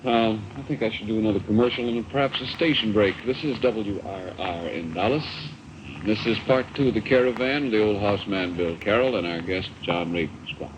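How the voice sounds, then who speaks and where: Low-voiced American radio host, noisy old recording. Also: male voice, normal speed. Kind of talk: casual radio chat and ad reads. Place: radio studio. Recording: noisy, dull 1960s radio aircheck.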